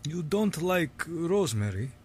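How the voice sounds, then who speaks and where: A man playing a guarded, learned university professor in an audio drama, speaking formally and with measured courtesy. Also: fairly high pitch, big pitch swings, slightly rough, exaggerated voice. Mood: curious, guarded. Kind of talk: scripted audio drama acting.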